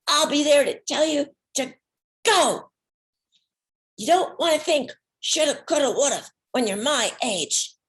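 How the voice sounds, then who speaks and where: A woman's voice: high-pitched, pitched above the performer's own voice. A woman voicing a feisty, insistent ninety-year-old great aunt in a one-woman stage show. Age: 90s. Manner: emphatic, insistent, repeating herself.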